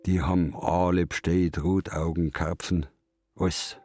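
An actor playing a gruff, taciturn old fisherman in a radio drama, speaking and narrating laconically with a Bavarian accent. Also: sits low, little pitch movement, unhurried, darkish tone. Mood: musing, grumbling. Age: older. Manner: laconic, grumbling dialect speech. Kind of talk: radio drama, part narration in third person.

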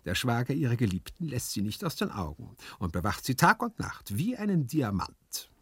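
A scrawny, practical manservant from a literary tale, acted out by a male reader. His voice sits high and he speaks pertly, contradicting with good-natured certainty and mangled learned words. The sound is crisp and dry. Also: huge pitch swings, moderate pace, dry recording. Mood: sullen, knowing.

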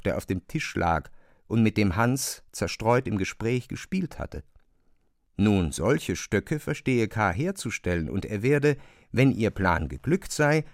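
Expressive male narrator, dry studio sound. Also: fairly low pitch, no room sound. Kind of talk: scripted literary narration for a radio play.

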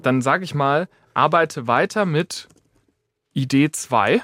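A man's voice: fairly high pitch, takes it slowly, music in the background. A man in casual explanatory talk, thinking aloud as he works. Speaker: AI expert guest. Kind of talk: casual explanatory talk while typing prompts.

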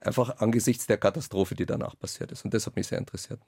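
A man's voice: on the low side, quick pace, warm tone, husky, dry recording. A man reflecting informally in an interview, rephrasing as he goes, close to the microphone. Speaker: author interviewed about his radio play.

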